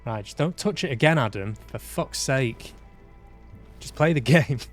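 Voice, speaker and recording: A man's voice: mid-range voice, lively intonation, measured pace. Mancunian man casually streaming a game. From Manchester, UK. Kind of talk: casual live game commentary, reading prompts aloud. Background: faint game music.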